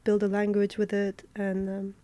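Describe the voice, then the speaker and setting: A young woman from Turkey, an artist answering interview questions in a thoughtful, halting way, with many fillers and restarts. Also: medium pitch, completely flat delivery, dark tone.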